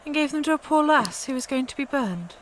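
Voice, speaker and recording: A woman's voice: high-pitched, expressive, fairly quick, a little hiss or hum, poor audio. An actress playing an earnest young peasant woman saint in a radio play, speaking with direct intensity. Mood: tender, insistent. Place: actor's home.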